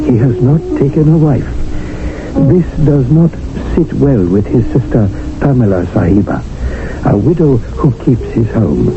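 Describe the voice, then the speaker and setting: A man playing a proud, deferential Indian servant who narrates, speaking in formal, flowery phrases in a 1970s radio drama. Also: mid-range voice. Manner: formal, flowery, ceremonious speech. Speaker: narrator of the story. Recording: tinny old radio broadcast with music behind.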